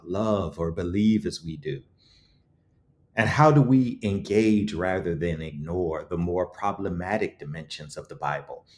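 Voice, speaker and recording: Man, low voice, interviewed author, thoughtful delivery, earnest, articulate, rhetorical emphasis. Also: darkish tone. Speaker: author interviewed about his book.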